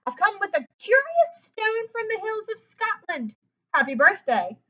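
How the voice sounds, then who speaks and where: A woman's voice: very high voice, big pitch swings, measured pace, fairly bright tone, dull audio, voice pushed up, full character voice. A woman voicing a jovial, hearty uncle from Scotland in a children's storybook. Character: jovial Scottish uncle bearing odd gifts.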